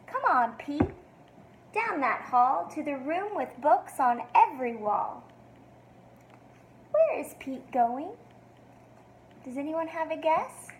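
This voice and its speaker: A woman reading a picture book to young children like a teacher, expressive and sing-song, with encouraging questions. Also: sits high, slight background noise. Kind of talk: read-aloud for young children, asking questions.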